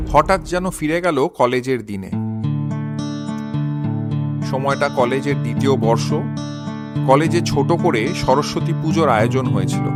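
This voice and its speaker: A man narrating a scripted crime story dramatically, with measured pauses. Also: on the high side.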